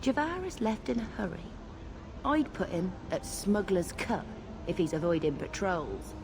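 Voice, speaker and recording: A cool female crime dealer from a fantasy game, dry and unruffled. She speaks in clipped, businesslike phrases at a middle pitch, over clear ambient noise. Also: very animated, a little slow. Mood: cool, sardonic. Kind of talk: fantasy video game voice acting.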